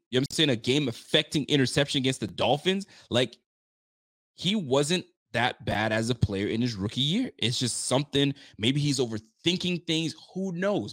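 A man in his late thirties hosting a casual sports talk show, animated and chatty, often repeating himself. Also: mid-range voice, no room sound, studio quality. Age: 30s. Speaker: sports podcast host.

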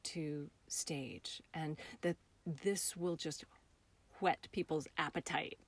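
Woman, theater director in conversation, slightly low register, earnest and expressive. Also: slow pace. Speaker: theater director and actor being interviewed. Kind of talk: reflective interview conversation.

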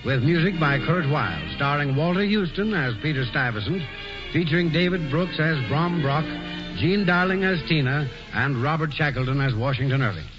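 Radio announcer guy, formal, slightly high, muffled old broadcast with music. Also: animated, normal speed.

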